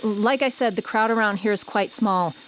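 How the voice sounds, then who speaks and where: A woman's voice: medium pitch, moderate pace, slight background noise, lightly acted. A woman playing a conversational, slightly uneasy field reporter describing a scene live in a radio drama. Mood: intrigued, reporting.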